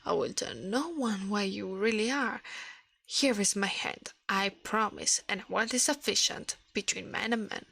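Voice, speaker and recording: A woman voicing a kindly, wondering scholar from a storybook in a soft, breathy voice. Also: mid-range voice, measured pace, no echo. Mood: reassuring. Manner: earnest, gentle, wondering. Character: kindly, astonished learned man, older scholar.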